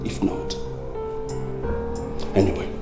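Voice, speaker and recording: A man's voice: takes it slowly, natural voice. An actor playing an arrogant, combative young man who defies his family in a drama. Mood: dismissive. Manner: insolent, combative. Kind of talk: dramatic film acting. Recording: echoey room, music behind.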